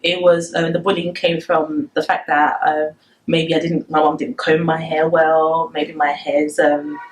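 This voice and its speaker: A woman's voice: low voice, little pitch movement, a little slow, slight background noise, low-quality recording. A woman in her twenties from the Democratic Republic of Congo, a native Lingala speaker, speaking hesitantly and reflectively as an interview guest. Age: 20s. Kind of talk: conversational interview, personal recollection.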